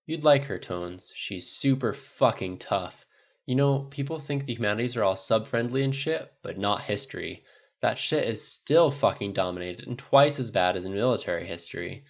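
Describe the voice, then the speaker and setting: A narrator as a blunt, emphatic military man, lively pitch. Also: normal speed, creaky, dull audio. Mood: earnest, tipsy. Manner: emphatic, indignant, sweary. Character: blunt, loyal, hard-swearing military man, smitten friend.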